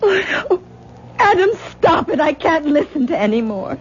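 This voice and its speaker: An actress playing a distressed, weary, self-effacing wife in a 1970s radio drama, pleading and hesitant. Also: female voice, high-pitched, sing-song, normal speed. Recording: muffled, hissy 1970s radio recording.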